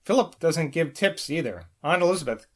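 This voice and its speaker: A man's voice: fairly high pitch, expressive, brisk, fairly bright tone. An earnest young woman from a novel read by a man, shyly smitten and devoted. She speaks in a practical, caring manner, as if confiding in someone close. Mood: eager, tender.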